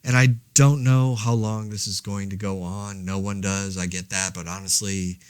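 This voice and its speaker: An actor playing a laid-back man podcasting alone through a zombie outbreak, rambling in a wry, deadpan, hesitant way. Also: lowish voice, normal speed, smooth, no room sound, lightly acted. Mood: musing, deadpan. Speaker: podcast host. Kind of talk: casual monologue, fictional audio diary.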